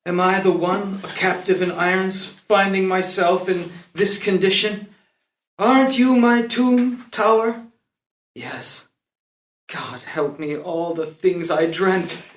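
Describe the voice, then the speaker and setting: High-voiced captive prince, declaiming by a man. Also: takes it slowly. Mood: anguished confusion. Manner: declamatory, dramatic, poetic. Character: captive young prince, brooding, vengeful, dazed from dreaming. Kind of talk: unrehearsed play reading. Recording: roomy, echoey live room.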